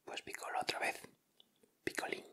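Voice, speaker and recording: A man with an accent from Spain whispering softly in casual ASMR commentary, murmuring short, unhurried remarks close to the microphone. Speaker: ASMR video host. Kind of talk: whispered ASMR, casual commentary while opening sticker packs.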